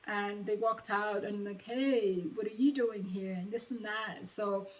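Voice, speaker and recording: A young woman with a Ugandan accent talks casually, as if advising a friend. Her voice sits at a middle pitch for a woman and is picked up some way from the microphone. The delivery is conversational and encouraging. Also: steady tone, a little slow. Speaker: vlogger sharing sales advice. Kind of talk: casual personal advice talk. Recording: distant microphone.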